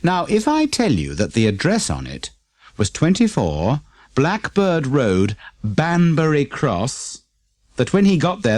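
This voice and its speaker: A man's voice: medium pitch, darkish tone, no echo, clean studio sound. A man with a British accent reading a children's story expressively as an audiobook narrator.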